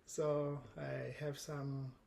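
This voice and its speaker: A man in his thirties from Angola with a Portuguese accent, a guest speaking in an earnest, measured way, with frequent restarts. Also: medium pitch, little pitch movement, creaky, a little mellow, slight background noise. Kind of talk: casual conversation.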